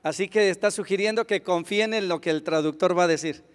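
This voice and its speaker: Man, high voice, quick hesitant interpreting, echoing hall. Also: clear, resonant tone. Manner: quick, with hesitant fillers and restarts. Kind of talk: consecutive interpretation of a lecture. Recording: long hall reverb.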